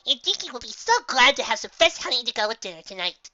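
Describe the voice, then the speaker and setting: Sweet, vapid cartoon pegasus mare, played by a narrator: raised pitch, gushing and innocent, bright. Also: big pitch swings, quick pace, dry recording, lo-fi sound. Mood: delighted.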